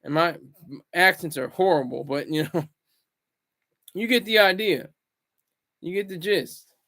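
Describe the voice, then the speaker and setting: A man hosting a casual solo commentary vlog, rambling and restarting his words, swinging between loud bursts and low asides. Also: measured pace. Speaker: commentary channel host.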